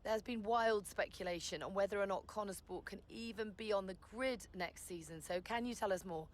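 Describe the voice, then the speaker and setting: A woman's voice: medium pitch, a little fast, slight background noise, natural voice. A woman playing a cheeky sports reporter in a video game, asking direct, polite questions. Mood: brisk, probing. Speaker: actor in a racing game. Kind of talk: press interview in game cutscene.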